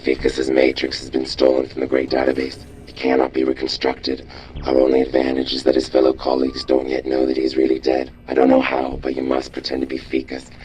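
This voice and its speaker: A gruff robot chief in a game, with an extremely low voice. He speaks in a measured, near-monotone, commanding way, over faint ambient noise. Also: low-quality recording. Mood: grave, urgent. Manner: measured, terse, authoritative. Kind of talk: scripted game dialogue.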